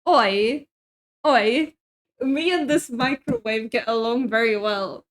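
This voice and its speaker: A young woman with a high, light voice chats with her viewers as she cooks at home. She, laughs easily, and answers chat messages as they come in. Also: takes it slowly. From Sweden. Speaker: streamer. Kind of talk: casual chat while cooking on stream.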